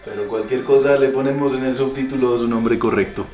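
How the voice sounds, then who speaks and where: A man presenting dishes in a jokey, faux-solemn, halting way. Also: medium pitch, steady tone. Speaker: guest food taster. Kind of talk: mock-formal food presenting. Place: room in a home, indoors. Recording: roomy echo, faint music.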